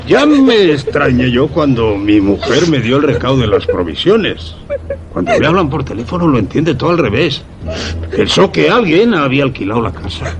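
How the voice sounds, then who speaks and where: An actor playing a wary, reluctant country shopkeeper in a suspense radio play, halting and plain-spoken. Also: male voice, mid-range voice, sing-song, noisy background. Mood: reluctant, puzzled. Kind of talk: radio theatre acting.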